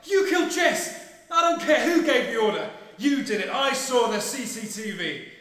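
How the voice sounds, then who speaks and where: Radio drama avenger, male actor: anguished agent, extremely high tense voice, threatening, strong echo. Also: expressive, normal speed, a bit bright. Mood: enraged, accusing. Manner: emotional, threatening. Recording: echoey, reverberant hall.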